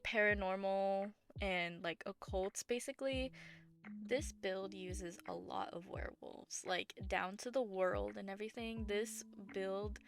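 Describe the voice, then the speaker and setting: A woman with a scratchy, gravelly voice, hoarse after bronchitis, giving casual voice-over commentary over gameplay. She rambles, packs her talk with fillers, and corrects herself. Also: mid-range voice. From Southern United States. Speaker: game builder and channel host. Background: background music.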